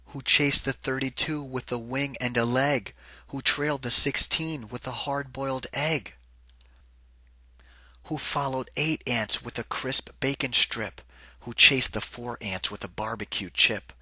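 Slow, very bright-voiced man reading to children. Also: medium pitch, slightly rough, no room sound. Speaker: children's picture book reader and teacher. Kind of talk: interactive read-aloud for children.

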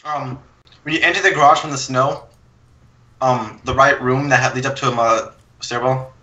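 Young man, bright forceful voice. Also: medium pitch, steady tone, normal speed, highs cut off. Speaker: gaming storyteller. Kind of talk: casual chat while gaming.

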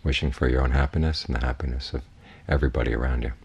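A man teaching in a guided meditation, giving measured, unhurried instructions with long pauses. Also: very deep voice, fairly even intonation, gravelly, breathy edge. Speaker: Buddhist monk, meditation teacher.

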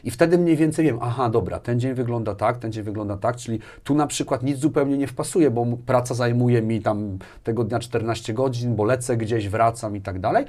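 A man's voice: mid-range voice, fairly quick, hardly any pauses, darkish tone. A man around his forties giving conversational interview answers in a rambling way, repeating words and tagging phrases with little questions. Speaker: interview guest, corporate manager. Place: small furnished studio, indoors. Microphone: lapel mic.

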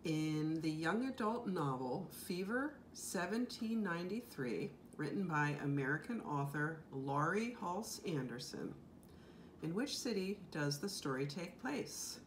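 A woman's voice: low voice, lively intonation, unhurried. A middle-aged woman reading a multiple-choice quiz question as a librarian in a home kitchen. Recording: noisy home recording.

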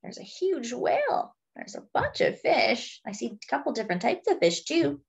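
A woman's voice: a little high, sing-song, normal speed, gravelly, breathy edge. A woman reading a picture book aloud to young children, in simple phrases as a children's storyteller.

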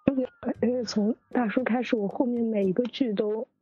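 A woman's voice: on the high side, fairly even intonation, brisk, dark tone, breathy edge, no room sound. A woman chatting casually in a group, often repeating her first words in a slight stammer. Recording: dull lo-fi voice chat with music.

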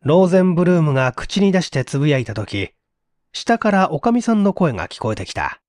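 Male narrator for mystery-style fiction: scripted, dramatic, slightly high voice, animated intonation. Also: fairly quick, dry recording, studio quality. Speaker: audiobook narrator.

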